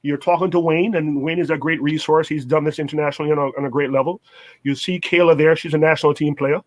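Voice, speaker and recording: A man's voice: on the high side, fairly even intonation, fast. A middle-aged man co-hosting, giving conversational advice and repeating phrases for emphasis. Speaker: co-host, basketball mentor.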